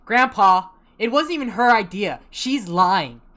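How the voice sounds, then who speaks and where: A woman's voice: medium pitch, animated, unhurried, fairly bright tone. Woman as a whiny, insistent young child. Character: whiny, competitive young grandchild, easily bored. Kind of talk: comic play acting.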